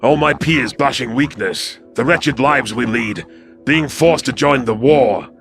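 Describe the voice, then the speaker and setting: A man voicing a scrawny, wary alien predator soldier in an audio drama, gravelly, deferential yet cold and terse. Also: medium pitch, unhurried, a bit bright, voice pushed up. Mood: earnest, deferential. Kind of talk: audio drama character acting. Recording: music behind the voice.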